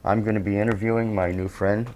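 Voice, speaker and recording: A man's voice: on the low side, steady tone, fast, a little mellow, poor audio. A man in his sixties hosting a casual interview, joking playfully as he asks questions. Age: 60s. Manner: jokey, playful. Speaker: host.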